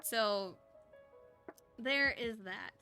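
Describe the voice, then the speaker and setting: A woman's voice: on the high side, drawn-out words, sharp tone. A woman streamer reading a story aloud in a playful, giggly way, with casual asides. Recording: music behind the voice.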